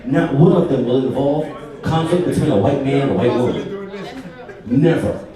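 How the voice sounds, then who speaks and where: A man's voice: mid-range voice, lively intonation, normal speed, crowd noise. A man from New York, a panelist making an emphatic, lecturing argument at a live taped discussion. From New York, USA. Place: large echoey art venue, live taping. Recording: very roomy, distant live recording.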